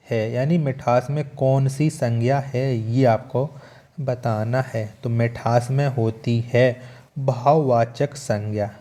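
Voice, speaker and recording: A man's voice: mid-range voice, dark tone. A man teaching an exam-prep lesson, reading out questions and answers and repeating key points for emphasis in an instructional way.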